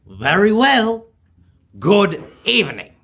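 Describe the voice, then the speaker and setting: A man's voice: high-pitched, huge pitch swings, takes it slowly, creaky, a bit bright, heavily acted. A young man playing a bitter, miserly old businessman in a live radio drama, speaking in a curt, scornful, emphatic way. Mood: cold contempt. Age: teenager or young adult.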